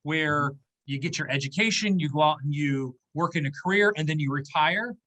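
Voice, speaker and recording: A man's voice: on the high side, normal speed, fairly bright tone. A man in his fifties, a business owner speaking as a guest in an interview, explaining in a conversational way and checking in with "okay?" and "right?". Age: 50s.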